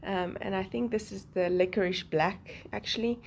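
A woman's voice: medium pitch, fairly even intonation, moderate pace, gravelly, slight background noise. A woman with a South African accent whose first language is Afrikaans, chatting with frequent laughs in a craft voice-over. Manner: chatty, laughs often between phrases. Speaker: Bible journaling channel host. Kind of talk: voice-over narrating a craft process.

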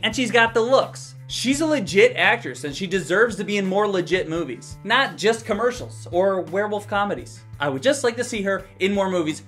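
High-voiced man giving casual movie reviews. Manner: animated, conversational, sweary. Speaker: film reviewer. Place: small indoor room. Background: faint background music.

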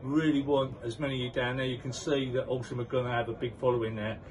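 A man's voice: medium pitch, little pitch movement, quick pace. An older man interviewing a player after a match, chatty and promotional, outdoors at a football ground. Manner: chatty, promotional, leading questions. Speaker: club interviewer. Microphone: handheld mic, camera at a distance. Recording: distant mic with crowd noise.

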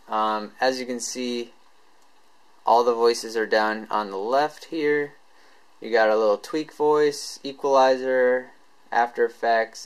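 A man presenting a tech tutorial in a casual, informal, unscripted way, halting as he explains each step. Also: mid-range voice, poor audio.

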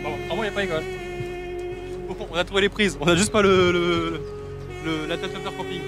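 A man's voice: sits high, steady tone, fast, music in the background. A young man chatting casually outdoors as a riding companion, picked up by an action camera. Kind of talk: casual chat. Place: outdoors on the road and trails.

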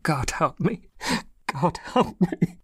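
A man's voice: a little high, lively intonation, slow, husky, no echo, clean studio sound, full character voice. An actor playing an anguished working man confessing to a theft in a dramatic audiobook, telling his story with self-pity. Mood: despairing.

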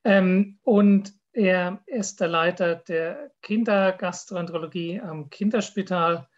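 A man in his fifties from southern Germany introducing a speaker in a halting, collegial way over a video call. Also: sits high. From Swabia, southern Germany. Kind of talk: informal event introduction. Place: indoor room, on video call. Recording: dull lo-fi video call audio.

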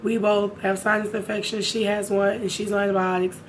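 Young woman, raspy, hoarse, casual chatty vlogger, camera mic at home, faint hiss. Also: medium pitch, level tone, a little fast, rough recording. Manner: chatty, addresses viewers directly. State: hoarse from a sinus infection. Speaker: beauty vlogger. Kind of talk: casual makeup haul chat. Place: home room, indoors.

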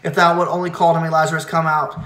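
A man solemnly reciting liturgical hymn verses in a measured way, now and then stumbling over a word. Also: a little high, level tone, a bit bright. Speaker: reader of church liturgy. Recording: echoey, reverberant room.

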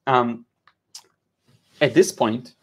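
A man's voice: mid-range voice, very slow, breathy edge. Chatty man teaching a live painting lesson. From Israel. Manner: relaxed, chatty, frequent "uh" and repeated words. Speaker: watercolor art teacher. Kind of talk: live-stream painting lesson with chat replies. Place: home studio, indoors. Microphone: headset mic.

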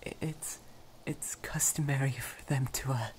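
A man playing a shy, lovestruck young male vampire in an ASMR romance roleplay, stammering nervously in a soft, breathy, often whispered voice. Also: mid-range voice, steady tone, measured pace, natural voice. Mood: hesitant, shy. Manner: heavily stammering, hesitant, apologetic.

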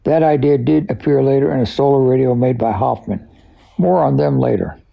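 A man with a raspy voice, a collector giving conversational, scripted narration about technical history. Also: mid-range voice, normal speed, warm tone, poor audio. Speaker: radio collector and expert guest.

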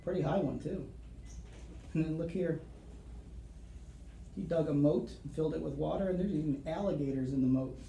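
Mellow man reading a picture book aloud. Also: a little high, gravelly. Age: middle-aged. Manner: dramatic, suspenseful pauses. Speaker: school storyteller reading to children. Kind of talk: expressive picture-book read-aloud with asides to children. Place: small office, seated at desk. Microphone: camera across the desk. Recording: roomy office sound, faint background noise.

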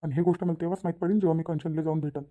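A man's voice: fairly high pitch, fairly even intonation, very fast, dark tone, close to an everyday voice. A man voicing a lovesick, brooding young village son in a cartoon, with a rural Maharashtrian accent and a repetitive way of talking. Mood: worried, determined. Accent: rural Maharashtrian Marathi. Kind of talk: cartoon comedy dialogue.

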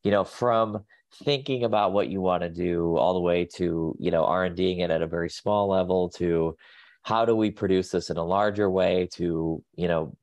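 A very low-pitched man with a nasal voice, sounding sick with a cold. He rambles and restarts often as a guest on a video call, and his voice comes across dry and close. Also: fairly even intonation, moderate pace, a little mellow, dry recording. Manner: rambling, many fillers and restarts. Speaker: guest, pet nutrition company staff. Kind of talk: casual video call interview. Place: home office.

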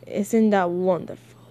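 A woman acting out a sinister comic-book villain with a hushed, lowered voice. The villain is calm and coaxing, moving slowly and haltingly through each manipulative line.

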